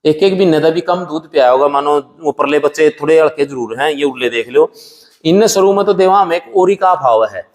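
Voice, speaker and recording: Haryanvi farmer with reverberant sound, higher-pitched voice. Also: male voice. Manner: plain-spoken, colloquial, often addresses listener as brother. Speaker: dairy farmer and buffalo breeder. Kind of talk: casual interview giving practical farming advice.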